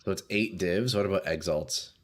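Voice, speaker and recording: A young man doing casual live commentary while playing a game, a bit congested and clearing his throat often, swearing and talking to chat. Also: deep voice, normal speed. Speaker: game streamer.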